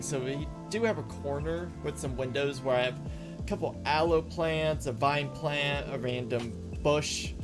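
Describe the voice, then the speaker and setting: A man streams a game with an everyday mid-pitched voice. He chats loosely, answers his viewers, and mutters to himself as he plays. Clear music runs behind him. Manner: rambling, chatty, answers chat and talks to himself. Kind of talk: casual live-stream chat while playing. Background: background music.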